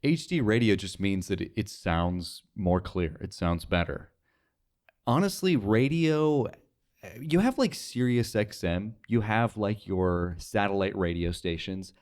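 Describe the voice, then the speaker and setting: A man's voice: fairly low pitch, a little slow, darkish tone. A young man talking casually as an interview guest, informal and rambling, often saying "like". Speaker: podcast guest, radio sales associate.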